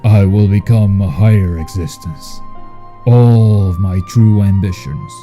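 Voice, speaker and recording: A man voicing a solemn, power-hungry villain lord reading his journal in a slow, ominous, grandiose manner. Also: on the low side, dark tone, soft background music, voice pushed down. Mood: grandiose menace. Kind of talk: dramatic game journal reading.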